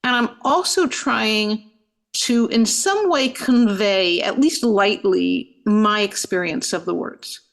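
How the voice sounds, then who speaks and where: A middle-aged woman rabbi, a podcast guest who answers thoughtfully, often pausing with "you know" and restarting her sentences. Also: mid-range voice, creaky. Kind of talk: reflective interview answers.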